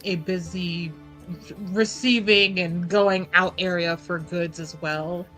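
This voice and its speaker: A woman's voice: lowish voice, steady tone, slow, fairly bright tone, soft background music, low-quality recording. A woman chatting casually as a player in a tabletop roleplaying game, informal and thinking aloud, with the odd swear word.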